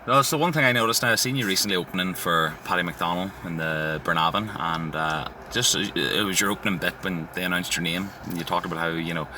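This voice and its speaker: Man, tyrone accent, very low voice, chatty host, phone recording with clear noise behind. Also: fast talker, few pauses. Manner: chatty, loose phrasing with fillers. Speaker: podcast host. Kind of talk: casual interview chat. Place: inside a parked car.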